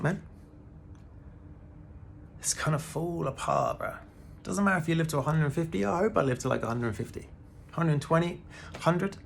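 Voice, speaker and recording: A man's voice: fairly high pitch, vocal fry, a little hiss or hum. A man in his thirties giving a casual, laid-back spiritual talk to the camera, often calling the listener "man" and swearing now and then. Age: 30s. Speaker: spiritual vlogger.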